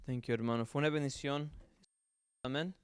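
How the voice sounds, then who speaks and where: A man speaking softly and in a friendly way after a church sermon. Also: mid-range voice, steady tone, normal speed, a little hiss or hum. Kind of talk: casual remarks after sermon.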